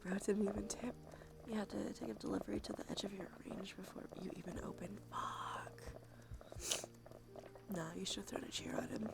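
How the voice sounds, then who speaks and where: A woman with a stuffy nose chatting softly and sleepily on an ASMR livestream, casual and playful, in whispers and quiet talk. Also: medium pitch, fairly even intonation, normal speed. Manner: soft, breathy whispering mixed with quiet talk; playful, casual, swears freely. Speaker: live streamer.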